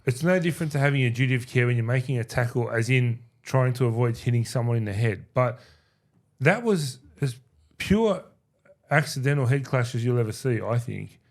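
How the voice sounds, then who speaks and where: A man's voice: medium pitch, expressive, brisk. A man hosting a sports podcast, laid-back and joking with his co-hosts. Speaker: podcast host. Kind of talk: casual sports chat.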